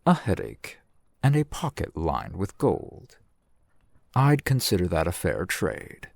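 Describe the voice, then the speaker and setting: A man playing a courteous, shrewd fantasy shopkeeper in an ASMR roleplay, speaking in formal, measured, polite phrases. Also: low voice, wildly swooping pitch, gravelly, dry recording, exaggerated voice. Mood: businesslike. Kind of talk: ASMR roleplay, scripted character acting.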